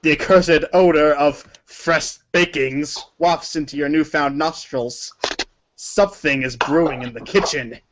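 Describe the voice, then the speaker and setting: A man's voice: fairly high pitch, unhurried, dull audio, background noise. A man narrating a story aloud in a theatrical, dramatic and emphatic manner. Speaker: narrator of a group reading.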